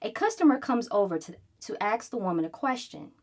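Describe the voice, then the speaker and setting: A woman reading a children's book aloud in an expressive, animated way, acting out the story as she goes. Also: medium pitch, brisk.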